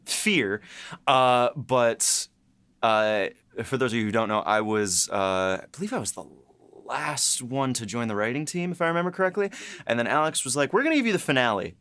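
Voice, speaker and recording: A man co-hosting a podcast in casual banter, halting and playful as he talks. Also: medium pitch, lively intonation, fairly bright tone, clean tone. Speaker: podcast co-host, series writer.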